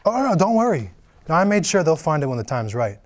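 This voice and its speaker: A man's voice: fairly high pitch, sing-song, brisk. A man voicing a menacing male killer who taunts his victim over the phone, sneering and cruel, in a script table reading. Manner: taunting, sneering, drops to a whisper. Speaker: table read actor.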